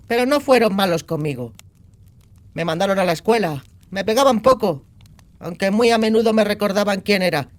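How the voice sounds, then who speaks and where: A woman's voice: mid-range voice, close to an everyday voice. A brash young outlaw girl played by a woman in a dramatized fantasy audiobook. She talks in slangy, crude bursts with a cynical, sardonic edge. She sounds streetwise and foul-mouthed. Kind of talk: acted audiobook dialogue.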